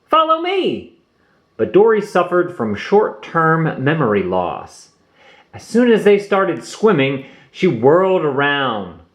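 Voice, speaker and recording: Slow, very expressive male storybook reader. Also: on the high side. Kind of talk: children's read-aloud narration. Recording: roomy echo.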